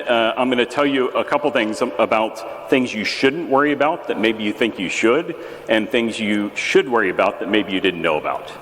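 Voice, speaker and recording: A man in his fifties with an American accent, giving a relaxed conference talk with a big booming voice, many fillers and restarts. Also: a little hiss or hum. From United States. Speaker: conference presenter. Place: large echoey tent at a festival. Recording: reverberant venue with faint ambience.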